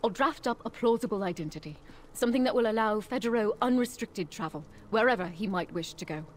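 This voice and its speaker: A woman voicing an earnest, grieving elf forger in a fantasy video game, solemn and measured. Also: medium pitch, animated, a little hiss or hum, close to an everyday voice. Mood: hopeful.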